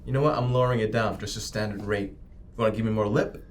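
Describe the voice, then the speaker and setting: A man playing an oily, menacing corporate agent in a tabletop game, cajoling and slipping into hushed threats. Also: fairly low pitch, quick pace, a little hiss or hum, voice pushed down, natural voice. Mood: threatening. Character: slick, menacing male corporate agent, bribing and threatening crew. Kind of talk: improvised tabletop roleplay acting.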